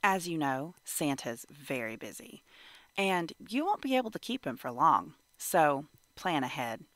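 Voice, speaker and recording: A woman reading a children's picture book aloud in an animated, measured storytelling manner. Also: low voice, dry recording. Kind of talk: expressive children's read-aloud narration.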